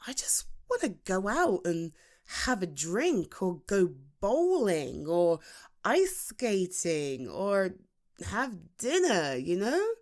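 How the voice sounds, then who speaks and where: A woman acting as a single British woman in her late thirties, chatting to her best friend in an intimate ASMR roleplay. She takes her time, halting and starting again, with a lively, expressive melody. Her tone is teasing and self-deprecating, at a medium pitch. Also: heavily acted. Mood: exasperated, wry. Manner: halting, chatty, with sighs and chuckles.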